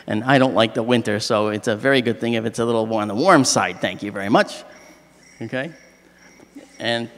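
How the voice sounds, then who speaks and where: A middle-aged man with a slightly hoarse voice gives a joking, animated astronomy lecture on stage into a handheld mic. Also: mid-range voice. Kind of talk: public lecture with jokes. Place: large hall, on stage. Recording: long reverb of a large hall.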